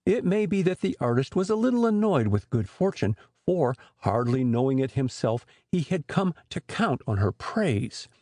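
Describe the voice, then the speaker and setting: A man with a husky voice narrating a scripted story as an expressive audiobook storyteller. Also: on the high side, no room sound, studio quality.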